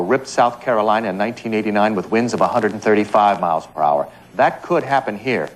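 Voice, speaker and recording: An actor playing an earnest, insistent TV hurricane forecaster, serious and explanatory in delivery. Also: male voice, on the low side, close to an everyday voice, poor audio. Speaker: TV weather forecaster. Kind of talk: film drama dialogue and broadcast delivery.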